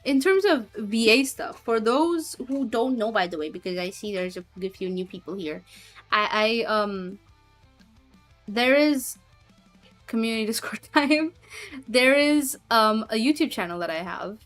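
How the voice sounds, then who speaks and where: Giggly woman streamer, slightly high voice. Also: expressive, a little slow, a bit bright, soft background music. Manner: giggly, chatty, full of fillers. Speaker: streamer and hobby voice actor. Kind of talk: casual livestream chat, reading chat aloud.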